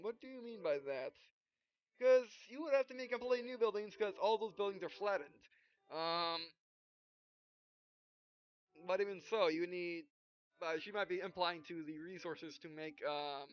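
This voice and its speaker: A woman doing let's play commentary, reading game dialogue aloud in a halting way and often correcting herself. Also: lowish voice, smooth. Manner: halting, stumbling read-aloud with frequent self-corrections. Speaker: gaming video host. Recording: dull, muffled lo-fi microphone. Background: game music.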